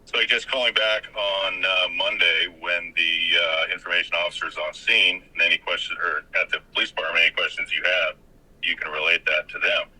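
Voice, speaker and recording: An American man speaking as a police lieutenant on an official phone call, hesitant and repetitive but formally polite, with a husky voice. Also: deep voice, flat delivery, brisk, sharp tone.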